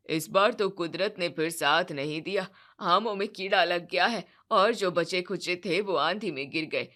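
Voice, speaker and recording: A woman voicing a worried, indebted older father of the bride who speaks wearily and quietly. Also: on the low side, voice pushed down. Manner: weary, troubled, subdued.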